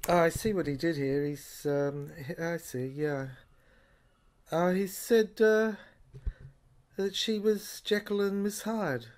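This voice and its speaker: A man plays a musing investigator in an audio drama, thinking aloud over notes. He speaks slowly and hesitantly, muttering to himself in a low-key, conversational way. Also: steady tone. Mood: puzzled, musing. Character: musing male investigator puzzling over someone's handwritten notes.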